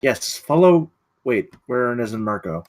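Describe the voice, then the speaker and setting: A man's voice: mid-range voice, very animated, moderate pace, lightly acted. A man acting an earnest, businesslike military guide in a script table read. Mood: brisk, questioning.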